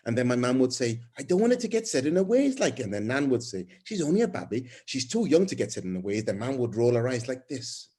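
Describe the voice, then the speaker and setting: A man voicing a warm, chatty young mother with a put-on Welsh accent. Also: mid-range voice, very animated, fast, hardly any pauses, husky. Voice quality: chatty, tumbling storytelling.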